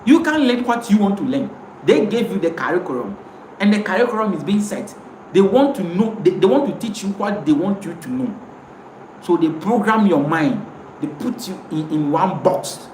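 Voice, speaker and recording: A woman's voice: lowish voice, sing-song, moderate pace, darkish tone. A woman from Ghana with a Ghanaian accent, giving an impassioned live talk as host, emphatic and preachy, often repeating words. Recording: roomy with faint noise.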